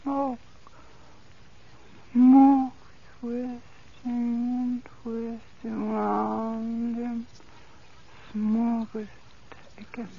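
A woman's voice: a little high, level tone, very slow, creaky, long pauses, dark tone, full character voice. An actress playing a weak, delirious captive woman in 1940s radio horror, murmuring repetitively as if in a trance. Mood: dazed, delirious. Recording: muffled, hissy 1940s radio recording.

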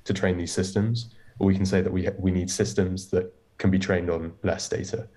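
Deep-voiced man on a video panel. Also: flat delivery, moderate pace, warm tone. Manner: fluent but restarting, casual fillers like "like". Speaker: research engineer panelist. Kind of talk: panel discussion on a video call.

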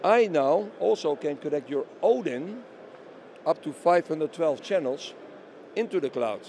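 A man in a product interview speaks with a mellow, relaxed tone at a slightly raised pitch. He explains step by step, posing questions and answering them himself. A light bustle of an exhibition hall sits behind his voice. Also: unhurried, slight background noise. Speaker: company representative being interviewed. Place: busy trade show hall booth. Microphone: handheld interview microphone held by interviewer.

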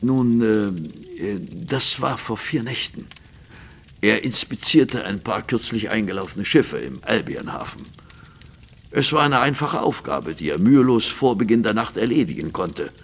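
A man's voice: lowish voice, expressive, unhurried, husky, full character voice. An actor playing a grave, proper, practical businessman past middle age in a German audio drama, speaking formally and earnestly. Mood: earnest, explaining. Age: middle-aged.

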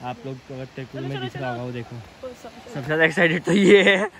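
A man's voice: mid-range voice, expressive, brisk, fairly bright tone. A young man in his twenties vlogging outdoors on a hillside trail, joking and teasing his friends into a phone held at arm's length. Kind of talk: casual travel vlog.

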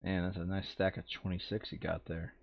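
A man's voice: sits low, little pitch movement, fairly quick, creaky, dull audio. A man playing a board game, talking casually as he reads out rules and tallies. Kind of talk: casual tabletop game chat.

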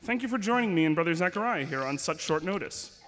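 A man's voice: a little high, expressive, quick pace, fairly bright tone, in a large hall, voice pushed up. A man reading the lines of a stern, commanding woman, without changing his voice.

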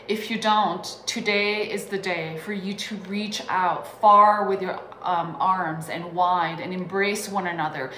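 A woman's voice: fairly low pitch, fairly bright tone, slight background noise. A woman recording an earnest video greeting as a program officer, speaking with many ums from a room at home. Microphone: webcam video call style. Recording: roomy video played in hall.

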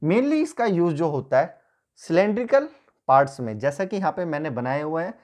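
Lively-voiced man teaching at a whiteboard. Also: a little high, normal speed. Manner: explains step by step, pointing and drawing while talking. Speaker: engineering teacher. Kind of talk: whiteboard lecture. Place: small indoor room at a whiteboard.

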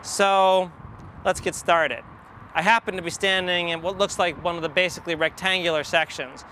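A man's voice: high voice, steady tone, moderate pace, sharp tone. A man in his forties with an American accent, teaching step by step and thinking aloud as he explains. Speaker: math educator. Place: outdoors in an empty football stadium. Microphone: lapel. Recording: outdoor ambient noise, distant traffic.

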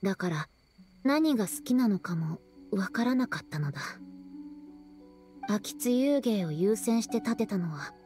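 A woman's voice: mid-range voice, expressive, unhurried, mellow, soft background music, close to an everyday voice. A woman voicing a solemn young youkai girl who speaks in a formal, archaic way, in an anime-style game. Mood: solemn, storytelling. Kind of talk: Japanese anime-style game dialogue and narration.

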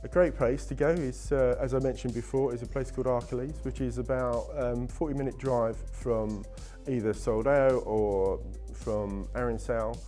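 A man's voice: mid-range voice, fairly even intonation, moderate pace, few pauses, dark tone, soft background music. A middle-aged man answering interview questions about ski holidays, enthusiastic and salesy, with frequent restarts. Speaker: travel company guest. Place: indoor studio set with wooden walls.

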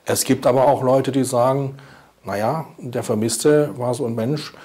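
A man's voice: medium pitch, fairly even intonation, moderate pace, mellow. A man in his fifties speaking as a prosecutor in a formal interview. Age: 50s. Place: indoor office.

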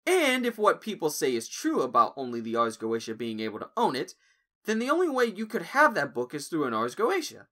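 A man's voice: on the high side, huge pitch swings, brisk, bright, forceful tone, heavily acted. A man playing a nervous but clever young human in a cartoon audio drama, hesitant and wry. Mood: confident.

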